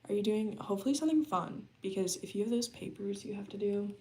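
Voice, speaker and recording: A woman's voice: mid-range voice, fast, warm tone. A woman in her early twenties, chatting casually with her viewers from home, her talk loose and peppered with "um" and "like.". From United States. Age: early 20s. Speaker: vlogger chatting with her live chat. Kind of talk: casual chat while working. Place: her home, working remotely.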